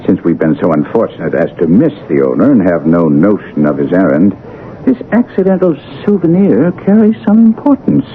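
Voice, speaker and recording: An actor playing a keen, self-assured detective with a brisk, emphatic, teasing manner in a 1970s radio drama. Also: male voice, sits low, very animated. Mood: amused, probing. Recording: tinny old radio broadcast, faint noise.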